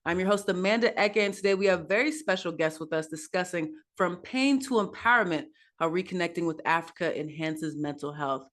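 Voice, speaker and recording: A woman's voice: lowish voice, a little fast, few pauses, fairly bright tone. A woman hosting a panel over a video call, upbeat and starting her sentences with "now". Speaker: host.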